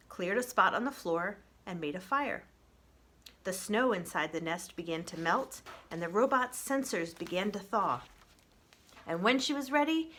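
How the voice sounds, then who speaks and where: A woman reading a children's novel aloud in an expressive, measured, gentle storytelling style, softening at phrase ends. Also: on the high side, breathy edge.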